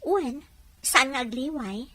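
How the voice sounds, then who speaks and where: A woman's voice: high voice, animated, unhurried, pushed and bright, breathy edge, full character voice. A woman playing a kind, patient wife and friend in a conversational audio drama. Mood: gentle.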